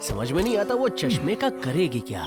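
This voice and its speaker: Dry, expressive cartoon panther. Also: male voice, high-pitched, a little fast. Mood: dry, unimpressed. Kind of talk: Hindi cartoon dub acting. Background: loud music.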